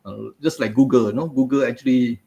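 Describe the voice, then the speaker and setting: A man's voice: medium pitch, brisk, gravelly, dark tone, dull audio. Man, webinar host, chatty, lots of "you know", video call from a home office. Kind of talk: live webinar interview. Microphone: video call webcam.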